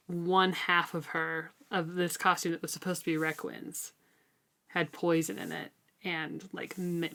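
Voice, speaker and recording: A woman co-hosting a book discussion podcast, chatting casually and colloquially with animated emphasis. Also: lowish voice. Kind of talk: casual chat about a novel.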